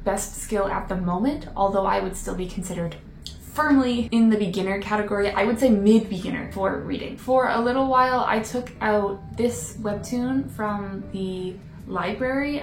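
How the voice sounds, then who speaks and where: A woman talks straight to camera in a relaxed, self-deprecating way. Her voice is mid-pitched with a gravelly edge. The room echoes, and soft music plays underneath. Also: a little hiss or hum. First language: English. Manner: chatty, self-deprecating, laughs now and then. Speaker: vlogger. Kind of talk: casual talking-head vlog. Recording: roomy echo. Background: faint background music.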